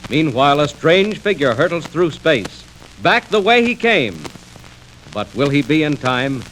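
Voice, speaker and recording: High, theatrical male radio serial narrator. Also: big pitch swings, unhurried, fairly bright tone, low-quality recording. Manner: urgent, theatrical. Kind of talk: 1940s radio dramatic narration. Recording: old radio record with hiss.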